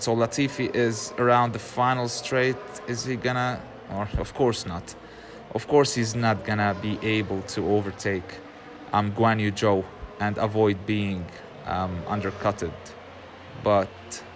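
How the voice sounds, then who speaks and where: A man whose first language is Arabic gives casual commentary while playing a racing game, chatty and often frustrated, laughing and filling pauses with "um". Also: fairly low pitch, flat delivery, background noise. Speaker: gaming channel host.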